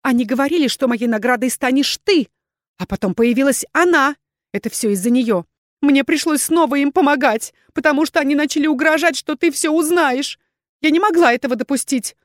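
A desperate wyvern woman from a fantasy audiobook, acted out by a female narrator, pleads in a high, tearful voice. She sobs and exclaims breathlessly, close and dry with no room sound. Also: big pitch swings, a little fast, few pauses, no echo. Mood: desperate protesting.

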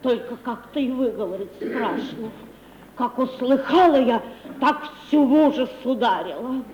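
An actress playing a fawning, gossipy, superstitious elderly housekeeper with folksy, obsequious speech in a Russian radio play. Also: female voice, a little high, measured pace, darkish tone, lightly acted. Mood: anxious, tattling. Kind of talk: 1950s Russian radio theatre acting. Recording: dull, noisy, echoey 1950s radio recording.

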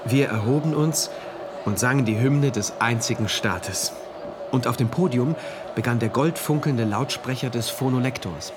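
An actor playing an earnest, devout citizen of a regimented future state, narrating his diary in a measured, confiding and precise way. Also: mid-range voice, noisy background, close to an everyday voice. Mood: solemn. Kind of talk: first-person radio drama narration, diary entries.

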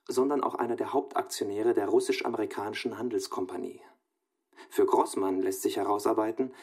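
An actor playing a studious young man dictating research notes in a radio drama, clipped, analytical and deliberate. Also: on the low side, darkish tone, husky.

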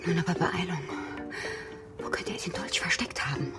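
A dry-witted, decisive woman from a radio crime drama, played by an actress. She is brisk and wry as an accomplice. Music is prominent behind her. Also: fairly low pitch, fairly even intonation, whispered, natural voice. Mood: brisk, conspiratorial. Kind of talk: German radio drama acting.

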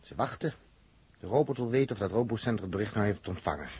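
An actor playing a grumpy, impatient, jealous sidekick with a rough, husky voice in a 1960s radio drama. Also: male voice, on the low side, animated, fast talker, darkish tone, dry recording. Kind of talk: 1960s Dutch science fiction radio drama.

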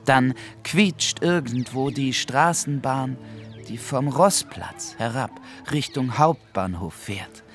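An actor playing a melancholy, romantic Congolese gentleman in a radio play, speaking deliberately and reflectively with dramatic pauses. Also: mid-range voice, lively intonation, faint music behind. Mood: wistful, reflective.

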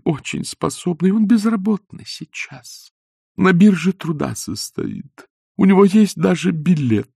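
A man's voice: a little mellow, husky, no echo, clean studio sound, full character voice. A man voicing a timid, fluttering middle-aged lady who pleads and gushes, in an audiobook reading. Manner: pleading, gushing, shrill when upset. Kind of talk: audiobook character voice.

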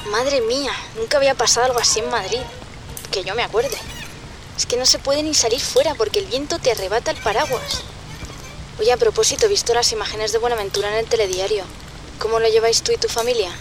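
Radio drama role: sleepless, guarded Castilian woman, played by an actress, downcast delivery, slightly high, over clear music. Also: a little fast, lightly acted. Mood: guarded, conversational. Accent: Castilian Spanish. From Spain. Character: troubled, sleepless, guarded woman friend in Madrid. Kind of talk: radio drama acting, phone-style conversation.

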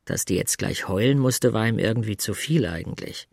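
A man's voice: fairly low pitch, moderate pace, gravelly. An actor playing an awkward, tentative single man in an audiobook drama, speaking casually. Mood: uneasy. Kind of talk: audiobook dialogue and inner monologue.

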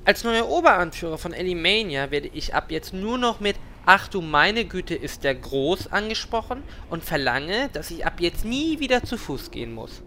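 A boastful, scheming little gnome man, played by an actor in a comedic fantasy audio drama. The voice is forceful and bright, pitched fairly high, and full of pompous self-importance. There is faint noise behind it. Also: big pitch swings, normal speed, few pauses, full character voice. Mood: pompous, demanding. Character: lecherous, boastful little male gnome escape artist. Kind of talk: comedic fantasy radio-play acting.

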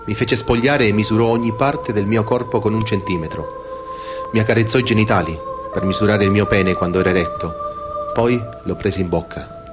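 A man's voice: lowish voice, moderate pace, over music, lightly acted. A man reading scripted testimony and narration in speech for a documentary radio play. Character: male and female witnesses recounting abuse by priests.

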